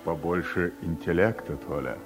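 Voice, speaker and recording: A man's voice: low-pitched, normal speed, over music. An actor in a radio play playing an affable, evasive middle-aged man who speaks in a familiar, placating way.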